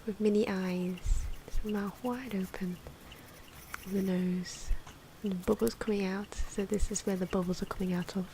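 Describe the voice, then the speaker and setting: A soft-spoken woman giving ASMR drawing commentary, often slipping into a breathy whisper, with hesitant, unhurried phrasing. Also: medium pitch, fairly even intonation. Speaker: ASMR video creator narrating own drawing. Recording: noisy, pencil scratching and ambient sound. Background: pencil and pen noises, outdoor wind.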